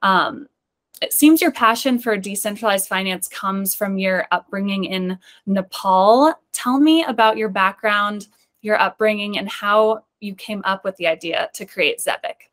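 A woman's voice: mid-range voice, measured pace, fairly bright tone. A young woman hosting an interview show over a video call, presenting in a prepared introduction-and-questions style. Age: young adult. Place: indoor room, on a video call. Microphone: video call microphone.

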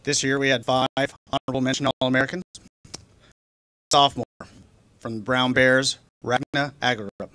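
A middle-aged man at an outdoor podium microphone, reading out honorees in a measured, formal way. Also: medium pitch, flat delivery, bright, forceful tone, dry recording. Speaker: awards presenter. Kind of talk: reading award announcements. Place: outdoor stage by a river. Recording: noisy outdoor recording.

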